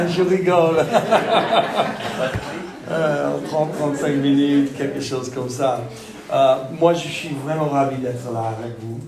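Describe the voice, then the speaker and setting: A man from Texas with a Texan accent, speaking French as a second language, preaching a sermon with jokes and halting fillers. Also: mid-range voice, a little mellow, slight background noise. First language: English. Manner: joking, self-deprecating, halting with fillers. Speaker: pastor. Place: church hall. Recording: echoey church hall.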